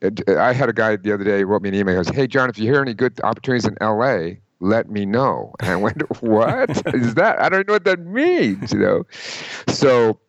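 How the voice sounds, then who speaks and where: A man giving career advice in an interview, emphatic and lively, often quoting people in mock voices. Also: on the low side, a little fast, no room sound, lo-fi sound. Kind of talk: conversational interview.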